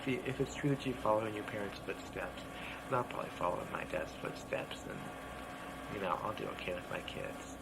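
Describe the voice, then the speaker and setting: A man in his thirties rambling casually and reflectively, with halting asides, over loud music. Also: lowish voice, animated, vocal fry, heavy background noise. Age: 30s.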